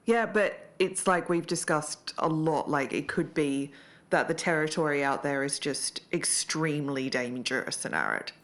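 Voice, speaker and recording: A woman acting as a sceptical podcast investigator with a notably deep voice. She talks in a measured, cautious way as a co-host weighing evidence. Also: lively intonation.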